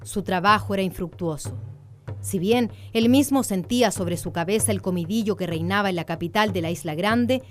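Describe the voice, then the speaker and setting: A woman with a Chilean accent reading scripted narration for a radio drama. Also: fairly low pitch.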